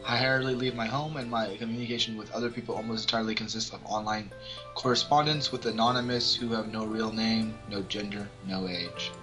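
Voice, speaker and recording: A man's voice: fairly low pitch, steady tone, brisk, low-quality recording. A man casually reading a visual novel's narration aloud as an amateur let's-player. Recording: music behind the voice. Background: game music.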